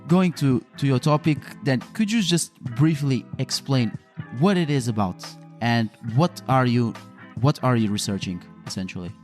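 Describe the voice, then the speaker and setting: Man, Portuguese accent, medium pitch, casual interviewer, hesitant and rambling, guitar music behind. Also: animated, moderate pace. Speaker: podcast host interviewer.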